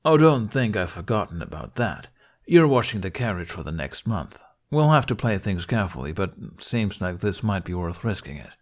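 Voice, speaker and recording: A man voicing a gruff, exasperated but caring male guardian in a storybook reading, scolding and sardonic. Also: low-pitched, sing-song, moderate pace. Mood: firm, softening.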